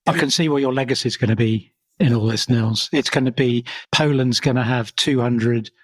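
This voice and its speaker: A man's voice: mid-range voice, fairly quick. A man hosting a podcast interview, asking questions in a friendly way and thanking his guest. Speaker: podcast host.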